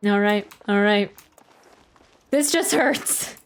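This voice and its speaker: Slow-paced woman streamer chatting casually. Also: mid-range voice, background noise. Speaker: game streamer. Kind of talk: casual livestream chat and reaction.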